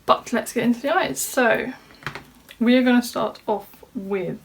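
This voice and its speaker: Woman, slightly nasal, a touch high, chatty makeup tutorial, faint hiss behind. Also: measured pace, creaky. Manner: chatty, talks through each step. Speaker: beauty vlogger. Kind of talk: casual makeup tutorial chat. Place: home room at a desk.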